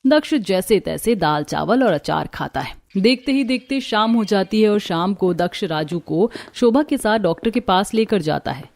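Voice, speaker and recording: A woman's voice: medium pitch, expressive, normal speed, vocal fry, few pauses, darkish tone, dry recording. A woman reading scripted narration for a cartoon family story.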